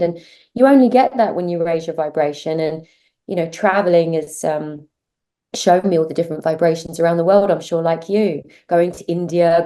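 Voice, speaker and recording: A woman's voice: lowish voice, warm tone. A woman guest on a podcast, talking as a mentor in an earnest, reflective way and speaking with conviction. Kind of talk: reflective interview talk.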